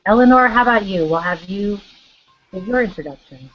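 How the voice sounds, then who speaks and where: A woman's voice: mid-range voice, animated, a little fast, muffled recording, faint music behind. A woman hosting an online interview over a video call, upbeat and eager as she welcomes her guests. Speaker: interview host.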